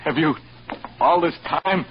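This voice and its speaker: A man's voice: on the high side, unhurried, close to an everyday voice. An actor playing a frightened, stammering, shocked man in a vintage radio suspense drama. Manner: stammering, repeating himself. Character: frightened, shocked man realizing he was tricked. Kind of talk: 1940s-50s American radio suspense acting. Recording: dull, noisy old radio recording.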